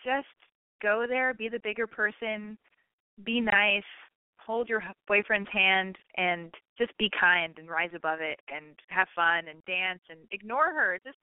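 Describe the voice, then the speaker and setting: A woman's voice: mid-range voice, a little slow, smooth, no echo. A woman hosting a call-in show, giving blunt, emphatic advice to callers. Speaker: radio call-in host. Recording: phone-like narrow sound.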